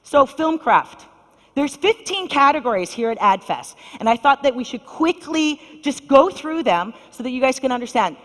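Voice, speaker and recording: Middle-aged female panel moderator, upbeat, talks straight to the crowd; higher voice, reverberant hall. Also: big pitch swings, normal speed. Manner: upbeat, addresses audience directly. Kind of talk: conference panel introduction. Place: conference hall stage. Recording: long reverb of a large hall.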